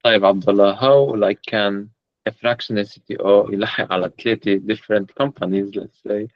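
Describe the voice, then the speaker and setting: A man's voice: lowish voice, steady tone, quick pace, dry recording. A man hosting a casual online chat, hesitant, with many filler sounds. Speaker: host, community organizer. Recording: dull lo-fi call audio.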